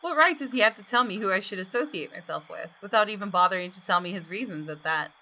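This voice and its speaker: A woman's voice: medium pitch, animated, quick pace, sharp tone, rough recording. A woman hosting a gaming video, reading the story narration aloud expressively with casual asides, close to the microphone.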